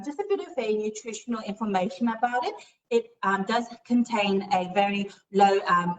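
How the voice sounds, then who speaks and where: A woman's voice: on the high side, a little hiss or hum. Young woman showing a recipe, lots of ums, kitchen sounds roomy and far off. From Australia. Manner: explanatory, with frequent "um" fillers. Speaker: cooking show presenter. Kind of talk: casual cooking demonstration. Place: home kitchen. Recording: roomy, distant mic.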